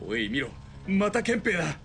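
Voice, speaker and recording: A man's voice: sits high, big pitch swings, normal speed, vocal fry, a bit bright, faint music behind, exaggerated voice. A man playing a cool-headed young army spotter on the run, who talks in a dry, sardonic way.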